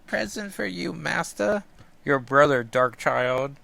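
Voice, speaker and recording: A man's voice: a little high, lively intonation, slow pace, vocal fry, bright, forceful tone, no room sound, voice pushed up, full character voice. A man voicing a sly, servile male demon who gloats and refers to himself by name. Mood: gloating.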